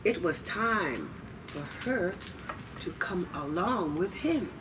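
An older woman telling stories to children at a library, deliberate and expressive, with dramatic pauses. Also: fairly low pitch. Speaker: library storyteller. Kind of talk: children's story time, expressive read-aloud. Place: library children's room, indoors. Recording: distant mic, noisy room ambience.

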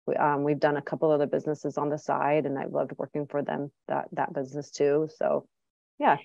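Low, gravelly middle-aged woman. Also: level tone, fairly quick, dark tone, no echo. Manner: chatty, frequent ums and restarts. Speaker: clinic director, guest. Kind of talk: casual interview answers. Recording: dull, dry lo-fi sound.

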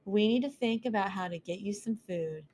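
A woman's voice: medium pitch, darkish tone, slight background noise, natural voice. A woman voicing a curious, chatty little girl mouse ballerina who asks question after question. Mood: bossy. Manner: quick, inquisitive questioning.

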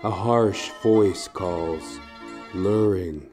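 A man narrating a horror story in a suspenseful, theatrical manner, with short deliberate phrases. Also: deep voice. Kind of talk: dramatic second-person horror story narration. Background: music.

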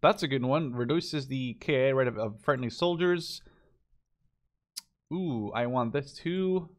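A man's voice: mid-range voice, unhurried. A man giving casual, unscripted commentary on a game as he plays, informally thinking aloud. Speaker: gameplay video host.

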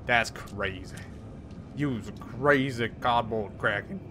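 A man in his thirties commenting on a horror game he plays, rambling and jokey, swinging from mumbles to yells. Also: mid-range voice, slow, background noise. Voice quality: hoarse at times. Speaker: gaming YouTuber. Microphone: headset.

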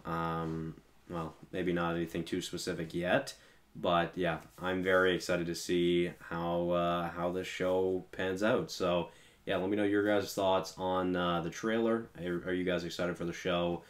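A man's voice: low voice, level tone, unhurried. A man in his twenties giving casual, enthusiastic reaction commentary, rambling with lots of fillers and repeated words at a desk in a small room. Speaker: reaction channel host. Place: small bedroom at a desk, indoors.

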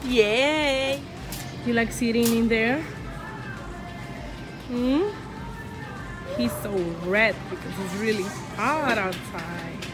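A woman's voice: a little high, slow, long pauses, music in the background, poor audio. Chatty mom vlogging on her phone. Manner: chatty, rambling, many "like" and "um" fillers. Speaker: family vlogger, mother of three. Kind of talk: casual vlog chat to camera. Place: home kitchen, then inside a parked car. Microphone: phone camera held at arm's length.

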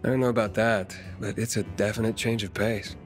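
Man acting as earnest game hero, lowish pitch, laconic, casual, plain-spoken survivor, faint music behind. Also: fairly even intonation, brisk, close to an everyday voice. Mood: earnest, guarded. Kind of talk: video game cutscene acting.

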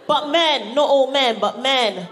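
A woman's voice: high-pitched, takes it slowly, some chatter behind. A woman from Barbados with a British accent performing an exclamatory, sweary stand-up routine to a live audience. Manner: animated, exclamatory, sweary, acts out reactions. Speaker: stand-up comedian. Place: live show on stage in a venue. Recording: live comedy venue with audience noise. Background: audience laughter and room ambience.

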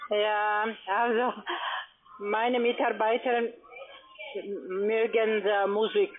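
A woman giving call centre instructions in halting, non-fluent phrases. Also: mid-range voice, little pitch movement, faint music behind. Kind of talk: phone instructions, halting speech. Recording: narrow tinny phone line.

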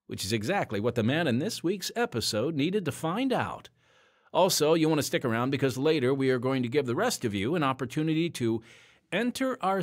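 A man hosting and narrating an audio drama podcast in a scripted, dramatic but conversational style. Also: medium pitch.

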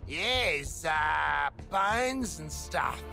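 A man's voice: a little high, expressive, extremely slow, vocal fry, heavily acted. A man playing a bumbling, cocky rogue in a game, hesitant and rambling in slang. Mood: bluffing. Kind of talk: video game cutscene acting. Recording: music behind the voice.

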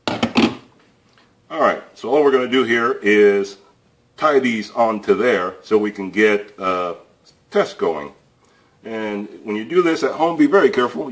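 A man hosting a casual hands-on repair tutorial, thinking aloud with fillers as he works in an indoor workshop. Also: mid-range voice. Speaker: DIY repair channel host. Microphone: camera overhead of workbench, voice off-screen.

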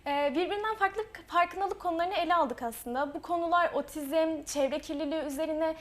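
A young woman, a student author, answering interview questions earnestly, pausing often to find her words. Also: very high-pitched. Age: young adult. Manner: earnest, quick, with frequent hesitations.

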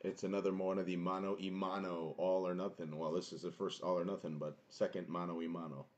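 A young man with a booming voice streaming a card box break from a small home room, chatting casually and greeting viewers by name. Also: flat delivery, fairly quick, a little mellow. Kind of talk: casual live-stream chat.

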